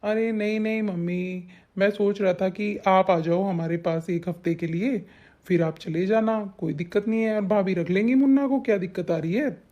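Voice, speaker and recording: Cartoon role: devoted younger son played by a man, soft dark tone, coaxing and affectionate. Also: high voice, moderate pace, close to an everyday voice. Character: affectionate adult younger son, pleading with his mother. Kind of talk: Hindi family cartoon drama dialogue.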